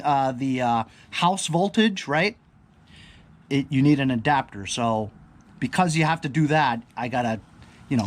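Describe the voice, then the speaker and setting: A man doing a casual, unscripted product unboxing, rambling and thinking aloud while often checking in with the viewer. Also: mid-range voice. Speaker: product reviewer. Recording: clear background ambient noise.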